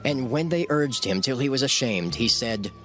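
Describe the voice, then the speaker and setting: Expressive male scripture narrator. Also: medium pitch, normal speed, faint music behind. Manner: formal storytelling of archaic text.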